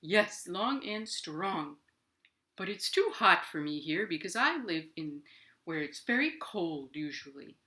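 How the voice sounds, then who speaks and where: A woman's voice: lowish voice, big pitch swings, unhurried, sharp tone, heavily acted. A woman voicing a friendly, chatty narwhal puppet in a children's puppet show, proud of its horn. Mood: cheerful, informative.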